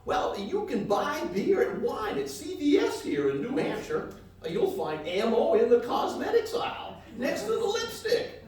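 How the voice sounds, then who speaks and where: A man's voice: extremely high pitch, huge pitch swings, measured pace, a little mellow, heavily acted. A man playing a folksy, rambling country travel reporter with a hesitant drawl in a live comedy radio play. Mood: folksy enthusiasm. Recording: echoey live hall, distant mic.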